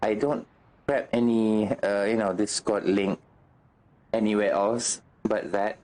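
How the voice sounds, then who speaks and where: A man chatting casually as a game streamer, halting and repeating himself as he searches for words, mildly exasperated. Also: lowish voice, steady tone, a little mellow. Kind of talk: casual livestream chat while gaming. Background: music.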